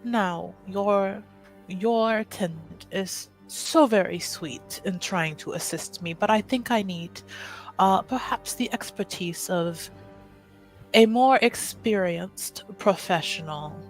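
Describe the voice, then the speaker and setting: A woman's voice: mid-range voice, slow pace, faint music behind, poor audio. A woman playing a haughty, exacting errand-runner for a noble house in a tabletop game, poised and condescending. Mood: haughty, cool. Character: haughty, exacting noblewoman's envoy shopping for perfume.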